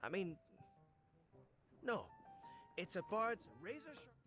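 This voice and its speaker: Flamboyant bard, lively delivery. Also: male voice, high voice, slow pace, soft background music, exaggerated voice. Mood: earnest. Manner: theatrical, effusive. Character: flamboyant, friendly male tavern bard, earnest storyteller. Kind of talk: video game voice acting.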